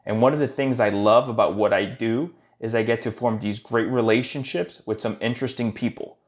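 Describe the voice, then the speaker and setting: A man's voice: medium pitch, fairly even intonation, fairly quick. A man speaking as a podcast host, introducing his show and guest and leading an interview.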